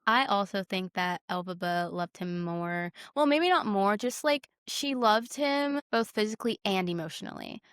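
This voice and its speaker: A woman's voice: medium pitch, animated, moderate pace, few pauses, fairly bright tone, clear, resonant tone, no echo, studio quality. A young adult woman talking casually and conversationally about films as a podcast co-host. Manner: informal, conversational.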